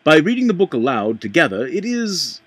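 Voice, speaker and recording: Halting man reading aloud, lively pitch. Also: husky, no echo. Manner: halting, stumbles and rereads lines; puts on an accent while reading. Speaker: amateur reader.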